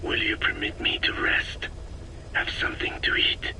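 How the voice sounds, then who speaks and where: An actor playing an elderly, solemn Navajo sage in a video game, speaking formally in a hushed, breathy rasp. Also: male voice, slight background noise, rough recording. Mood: solemn, lecturing. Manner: slow, grave, measured, formal phrasing. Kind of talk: video game voice acting, philosophical monologue.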